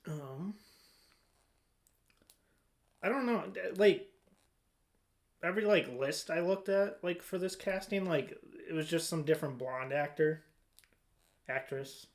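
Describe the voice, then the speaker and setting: A man's voice: on the high side, takes it slowly, pauses often. A man hosting a casual podcast discussion, conversational and a little hesitant, often trailing off. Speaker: podcast host.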